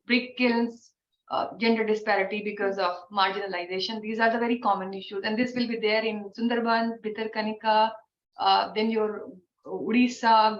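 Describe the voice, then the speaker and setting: A woman with an Indian English accent, hoarse from a cold, giving thoughtful advice in an online training Q&A with many fillers. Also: medium pitch. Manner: thoughtful, advisory, frequent fillers. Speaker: invited expert speaker. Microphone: video call. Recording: dull, roomy online call audio with faint noise.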